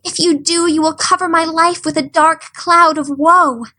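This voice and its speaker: A woman's voice: very high-pitched, steady tone, normal speed, bright, forceful tone, voice pushed up. A woman voicing a dramatic, eager orphan girl of about eleven who speaks in flowery, pleading words.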